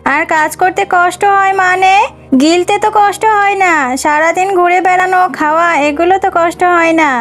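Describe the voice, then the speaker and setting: A woman voicing a high-pitched nagging cartoon stepmother fox. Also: steady tone, moderate pace. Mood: scolding, threatening. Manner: sharp nagging, taunting rhetorical questions. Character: cruel scolding stepmother fox, sly and domineering. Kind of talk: children's cartoon voice acting.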